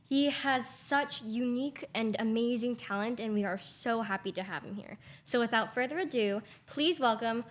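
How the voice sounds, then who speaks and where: A woman's voice: a little high, moderate pace, few pauses. A woman co-hosting an interview show, putting prepared questions to guests in a polite, appreciative way.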